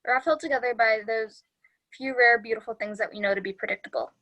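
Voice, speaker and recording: A woman's voice: fairly high pitch, fast, sharp tone. A woman playing a clueless, analytical young man in a sitcom script read, speechifying in an earnest, matter-of-fact way.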